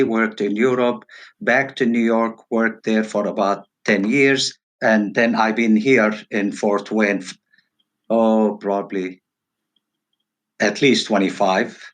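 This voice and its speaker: A middle-aged man from Turkey answering interview questions casually as a guest, in halting, broken phrases. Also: lowish voice. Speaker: podcast guest, hairstylist. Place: virtual call.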